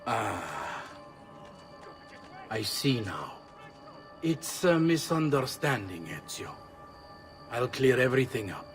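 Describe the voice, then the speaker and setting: An actor playing a smooth-talking, paternal but duplicitous family friend with a measured, soothing manner. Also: male voice, medium pitch, big pitch swings, soft background music. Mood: reassuring.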